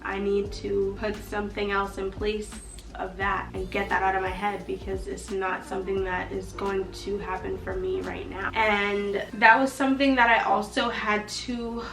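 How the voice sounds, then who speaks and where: A woman's voice: mid-range voice, level tone, moderate pace, creaky, recorded from a distance. A young woman chatting casually to camera in a vlog, a little nasal from allergies and acting out her own past reactions. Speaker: beauty vlogger. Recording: roomy echo, slightly off-mic, faint music.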